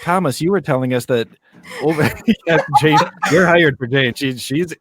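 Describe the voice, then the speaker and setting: A man's voice: on the high side, moderate pace, background noise. A man hosting a podcast with casual, teasing, sweary banter. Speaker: podcast host.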